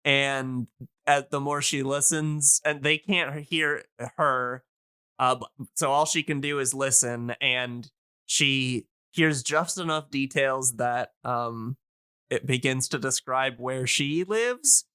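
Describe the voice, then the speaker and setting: A man in a casual explanatory podcast chat, talking in a hesitant, halting way as he thinks aloud. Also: mid-range voice, sharp tone, clean tone, no room sound.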